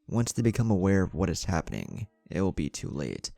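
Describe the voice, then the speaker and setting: A man's voice: deep voice, sing-song, fairly quick, creaky, mellow, no echo, heavily acted. A man voicing a defiant young male ninja hero in a story, firm and irreverent.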